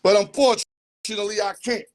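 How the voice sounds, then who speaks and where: A man's voice: sits high, steady tone, moderate pace, slight background noise. A man hosting a casual sports talk show, loose and joking, full of street slang. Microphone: headset or earpiece.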